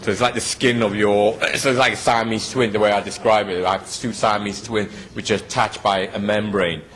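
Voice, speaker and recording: A middle-aged man lays out a scientific theory in a long, halting explanation. His voice sits a little low, and he keeps pausing on fillers and restarting his sentences. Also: steady tone, a bit bright. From England. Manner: hesitant, many fillers and restarts. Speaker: physicist interviewee.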